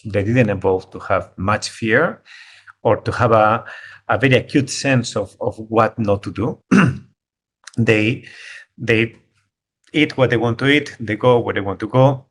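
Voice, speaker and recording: A man's voice: medium pitch, fairly bright tone. A middle-aged man from Spain with a Spanish accent, giving a talk over a video call, often repeating words as he searches for how to put things. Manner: halting, repeats words while searching for phrasing. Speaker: guest speaker, conservation biologist. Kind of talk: online talk.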